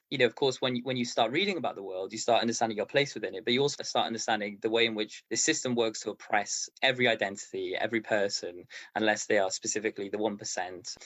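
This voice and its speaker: Male podcast guest, average pitch, rapid delivery, casual and earnest, with firm opinions. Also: fairly bright tone. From born in Baghdad, Iraq, half Welsh. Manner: earnest, conversational, peppered with "you know". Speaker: podcast guest, lawyer and activist. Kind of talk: casual, opinionated interview talk.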